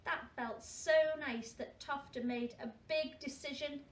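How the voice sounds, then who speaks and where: A woman's voice: sits high, steady tone, a little slow. A woman reading a story aloud to young children as a school storyteller, adding questioning asides to her listeners. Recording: roomy, distant mic, faint noise.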